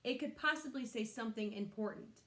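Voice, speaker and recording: A woman's voice: a little high, a little fast, pushed and bright, roomy sound. A woman voicing a curious young twin boy in a storybook, eagerly chiming in. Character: young twin boys Barnaby, curious and suggesting.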